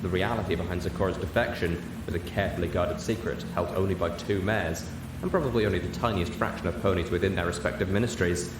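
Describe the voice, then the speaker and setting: A man's voice: low-pitched, fast, background noise. A man reading scripted fiction aloud as an audiobook narrator. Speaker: audiobook reader.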